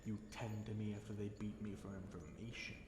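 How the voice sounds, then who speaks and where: A man playing an obsessive, seductive fallen dragon king held captive, speaking in a slow, teasing, theatrical purr for an intimate ASMR roleplay. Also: fairly low pitch, level tone, darkish tone, natural voice. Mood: protective, intense. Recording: roomy echo with faint noise.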